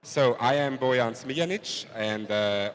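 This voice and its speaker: A man who grew up in Switzerland and works as a police officer answers interview questions calmly and plainly. His voice is mid-pitched, and it carries a long reverb tail. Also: unhurried. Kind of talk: interview answers, conference delegate.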